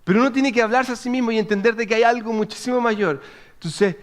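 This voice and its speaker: A Chilean man in his thirties preaches with a lively, chatty delivery. His voice sits high for a man, and he keeps checking in with his listeners. He tells a personal story in a conversational way. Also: quick pace. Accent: Chilean Spanish. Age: 30s. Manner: chatty and animated, frequent restarts and "¿ok?" check-ins. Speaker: pastor.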